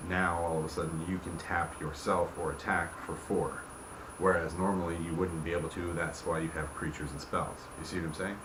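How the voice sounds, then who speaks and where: A man's voice: deep voice, flat delivery, fairly quick. A man giving an informal explanation as host, often checking in with the listener with small tag questions. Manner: checks in often with "okay", "right", "you see what I'm saying". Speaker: host, game creator explaining rules. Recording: roomy echo, clear background noise.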